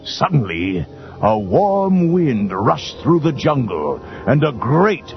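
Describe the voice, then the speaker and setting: Animated, gravelly male storybook narrator. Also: medium pitch, slow, muffled recording. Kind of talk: dramatic scripted storybook narration. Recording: band-limited old readalong tape with music.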